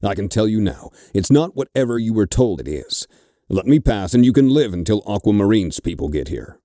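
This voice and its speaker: A man's voice: on the low side, sing-song, brisk, dark tone, no room sound, clean studio sound, pitched above the performer's own voice. A man doing a defiant young adventurer. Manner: terse, defiant. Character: young male adventurer, defiant under pressure.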